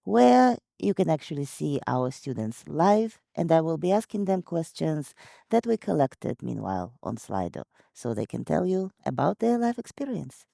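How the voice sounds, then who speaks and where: Upbeat female host on a video call; gravelly, dark tone. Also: low-pitched, sing-song, normal speed, dry recording, studio quality. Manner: upbeat, reads out audience questions. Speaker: host, moderator. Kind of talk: hosting a virtual open day.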